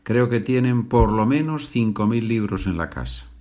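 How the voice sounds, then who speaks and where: A man from leads a listening exercise as a teacher. His intonation is highly animated, rising and falling widely. He speaks deliberately and didactically, setting out each practice sentence for learners. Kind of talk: language lesson, reading numbered practice sentences.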